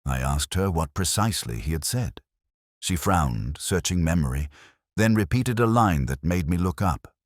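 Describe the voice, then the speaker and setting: Really deep male narrator reading a moody detective story, very dry close sound, measured and brooding. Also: lively intonation, dry recording. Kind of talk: scripted first-person detective story narration.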